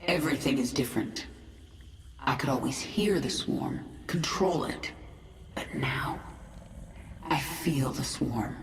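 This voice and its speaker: Low-voiced, imperious alien queen. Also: noisy background, exaggerated voice. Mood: proud, intense. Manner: measured, imperious. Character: commanding alien swarm queen, proud, cool and menacing. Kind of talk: video game cinematic acting. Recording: echoing voice over music.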